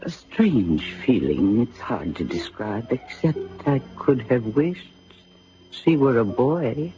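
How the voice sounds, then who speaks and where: An actor playing a grave, reflective narrator in an old-time radio drama, speaking deliberately and dramatically. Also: male voice, mid-range voice, sing-song, warm tone. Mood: brooding. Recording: muffled vintage radio drama recording. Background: music.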